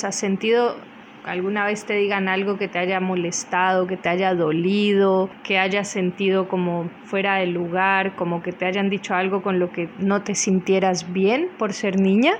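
A woman's voice: mid-range voice, a little hiss or hum. A woman conducting a friendly, conversational radio interview. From Colombia.

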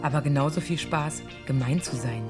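A woman's voice: sits low, fairly quick, music in the background. A woman giving scripted storybook narration in a radio play.

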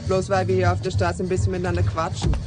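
An actor playing a coquettish, unafraid drag streetwalker in a German radio play, slangy, cooing and cheeky. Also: male voice, sits high, monotone, fast talker, over loud music, natural voice. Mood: sassy.

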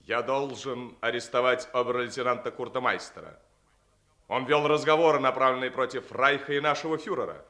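An actor in a 1950s radio play voicing a fanatical, officious SS officer, declamatory and insistent. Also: male voice, medium pitch, steady tone, a little fast, sharp tone. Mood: accusing. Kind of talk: 1950s Soviet radio theatre acting.

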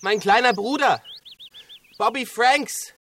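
A man's voice: very high voice, slow pace, a bit bright, slight background noise, full character voice. An actor playing an urgent young man calling out in a raised voice in a radio crime drama. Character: urgent young man searching, older brother.

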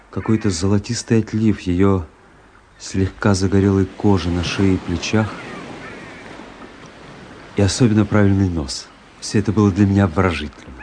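Radio drama lead: man playing ironic young officer-narrator, low register, measured literary delivery, noisy recording. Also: mellow, close to an everyday voice. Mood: reflective, ironic. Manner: measured, reflective storytelling.

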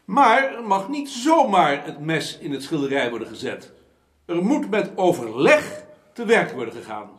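An actor playing a formal, emphatic gentleman councillor in a period radio drama, eloquent and scornful of his peers. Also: on the high side, very animated, normal speed. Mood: earnest, oratorical. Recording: roomy, echoing studio sound.

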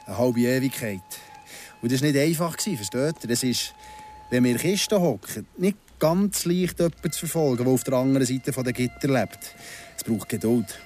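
An actor playing a vengeful, patient ex-convict in his thirties in a radio drama, speaking Swiss German in a deliberate, sardonic way. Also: medium pitch, expressive, darkish tone, soft background music, close to an everyday voice. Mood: controlled bitterness. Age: 30s.

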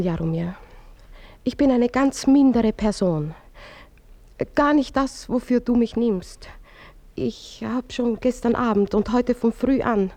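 An actress playing an anxious young wife and mother who confesses her jealousy in a hushed, halting voice in a radio play. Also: on the high side.

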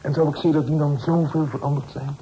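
An actor playing an earnest, loyal grown son, respectful in manner, in a period radio drama. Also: male voice, a little high, flat delivery, a little fast, warm tone, lightly acted. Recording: faintly noisy old radio play recording.

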